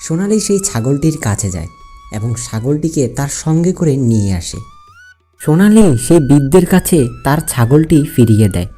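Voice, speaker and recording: A man's voice: a little high, big pitch swings, normal speed, warm tone, soft background music. A man giving scripted storytelling narration for a cartoon fairy tale.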